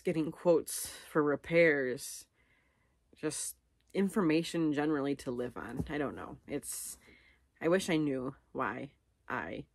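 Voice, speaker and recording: A woman's voice: a bass voice, vocal fry, a little mellow, husky, no room sound. A middle-aged woman chatting casually and unscripted to camera, rambling and self-deprecating as she thinks aloud. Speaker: vlogger. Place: living room at home, on a couch.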